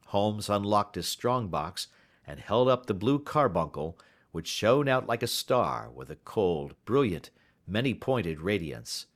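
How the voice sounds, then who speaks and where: A man reading literary fiction aloud as an audiobook narrator in a scripted storytelling style. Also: on the low side.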